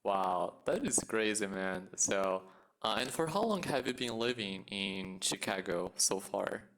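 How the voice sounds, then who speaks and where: A man's voice: lowish voice, animated, unhurried, fairly bright tone. A man from Brazil hosting a casual English-practice conversation, an eager listener who keeps affirming and asks simple questions.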